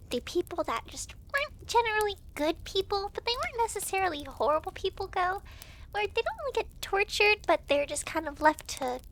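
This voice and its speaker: A young devil girl who works as hell's clerk, acted by a woman in an ASMR roleplay. Her voice is extremely high and lively in pitch, with a breezy, teasing, giggly manner. There is a faint noise behind her. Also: heavily acted. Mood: matter-of-fact, teasing. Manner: giggly, breezy, teasing, halting when flustered. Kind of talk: ASMR roleplay, talking to the listener.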